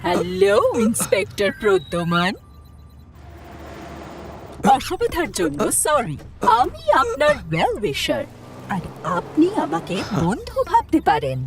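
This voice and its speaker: A woman's voice: high-pitched, huge pitch swings, a little slow, gravelly, a little hiss or hum, heavily acted. A woman playing a taunting, threatening hacker villain in a cartoon crime drama, with a mock-friendly, teasing menace. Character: taunting car-hacking villain making cold threats. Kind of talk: animated crime drama acting.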